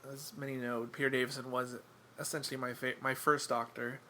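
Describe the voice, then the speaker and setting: A man's voice: medium pitch, flat delivery, fairly quick, pushed and bright, a little hiss or hum. A man chatting casually as a podcast guest, wry and self-deprecating, rambling with lots of fillers. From England.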